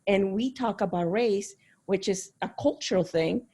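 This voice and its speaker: A woman's voice: mid-range voice, normal speed, warm tone, husky. A woman speaking earnestly in a panel discussion over a video call, reflecting on her own experience. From Caribbean, raised in Miami. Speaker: panel participant. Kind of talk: discussion remarks.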